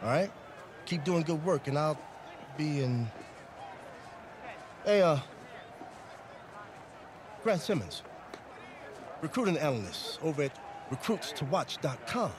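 An actor playing an eager, chummy middle-aged recruiting analyst in a video game cutscene. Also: male voice, a little high, expressive, slow pace, long pauses, crowd noise. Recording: noisy outdoor stadium ambience.

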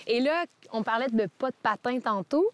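A woman's voice: a little high, normal speed, no echo. A young woman with a Québécois French accent hosting an upbeat interview outdoors in a snowy park, asking eager questions. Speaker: host.

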